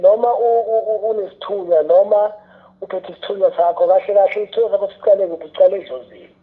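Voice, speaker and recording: Quick-talking South African man, tinny phone-like sound. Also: high voice, steady tone. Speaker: radio drama scriptwriter and teacher, interview guest. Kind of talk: explanatory interview talk.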